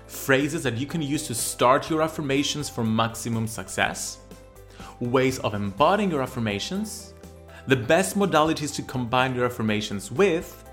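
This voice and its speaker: A man's voice: medium pitch, a bit bright. A man with a Greek accent hosting a podcast interview, reading an introduction and asking questions in an upbeat way. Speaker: podcast host.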